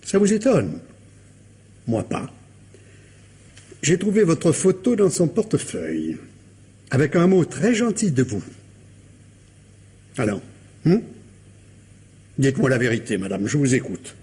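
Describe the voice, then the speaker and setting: An actor playing a probing, sceptical police commissioner in a radio mystery drama, formal, ironic and insistent. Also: male voice, a little high, wildly swooping pitch, measured pace, long pauses, dark tone, husky, full character voice.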